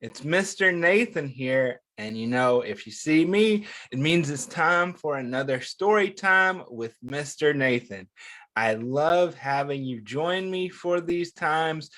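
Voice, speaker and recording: A man's voice: mid-range voice, fairly bright tone. A man hosting an interactive read-aloud for young children, speaking encouragingly and putting questions to his listeners. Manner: encouraging, addresses listeners with questions and pauses for answers. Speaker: children's story time host.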